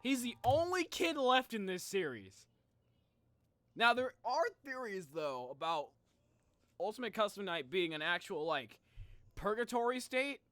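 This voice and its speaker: Young man, bright forceful voice, very lively pitch, casual streamer commentary, rambling, thinking aloud. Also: unhurried, no room sound. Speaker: live streamer reacting to game videos. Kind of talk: casual livestream commentary and speculation.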